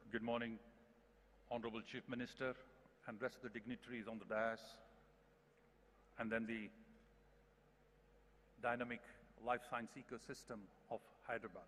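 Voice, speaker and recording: A man's voice: mid-range voice, level tone, normal speed, pauses often, a bit bright. A man in his fifties with an Indian accent, formally addressing a conference from a podium microphone. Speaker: trade commissioner speaker. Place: large conference hall, at podium. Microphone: podium gooseneck microphone. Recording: noisy reverberant hall, room ambience.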